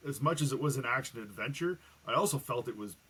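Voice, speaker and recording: A man speaking casually as a guest author in a podcast conversation, with a husky voice. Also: mid-range voice, expressive, fast talker, pushed and bright.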